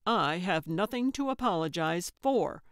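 A woman's voice: on the low side, a little slow, no echo, studio quality, close to an everyday voice. Woman reading as a curt, grumpy man. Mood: exasperated. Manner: curt, exasperated. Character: grumpy, stubborn, unapologetic man. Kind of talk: audiobook character voice.

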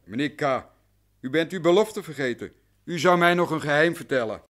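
A man's voice: fairly high pitch, animated, normal speed, fairly bright tone. A man playing an anxious, deferential client in a radio drama, recounting things at length and meticulously. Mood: agitated. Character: long-suffering male client, anxious, deferential, talkative. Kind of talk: radio drama acting in Dutch.

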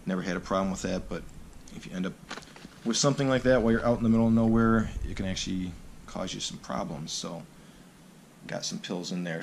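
A man casually walking through his backpacking gear indoors, plain-spoken and relaxed, with frequent fillers. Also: sits low, slight background noise. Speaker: backpacking vlogger. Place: indoors, carpeted room.

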